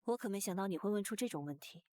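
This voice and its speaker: A woman's voice: mid-range voice, animated, racing, mellow, natural voice. A woman voicing a young female character in a visual novel. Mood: inquiring.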